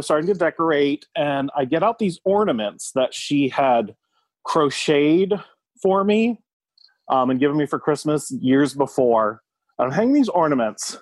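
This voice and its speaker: A man's voice: fairly high pitch, no room sound. Man telling a personal story, dry sound.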